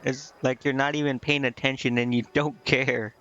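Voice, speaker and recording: A man's voice: mid-range voice, little pitch movement, normal speed, fairly bright tone. Casual man streaming, dull lo-fi mic. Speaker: game streamer. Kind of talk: casual let's-play commentary, reading dialogue aloud. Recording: dull lo-fi mic, music behind. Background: game music.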